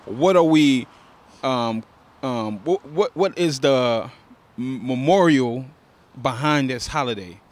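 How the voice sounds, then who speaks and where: A man's voice: mid-range voice, takes it slowly. A man interviewing people on the street, casually repeating "okay" and restarting his questions.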